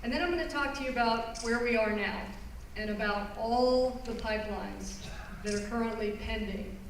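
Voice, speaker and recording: A woman giving a public presentation, explaining her subject earnestly and pausing on phrases for emphasis. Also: fairly high pitch, a little hiss or hum. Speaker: environmental lawyer giving a talk. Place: conference hall. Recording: roomy hall recorded from far off.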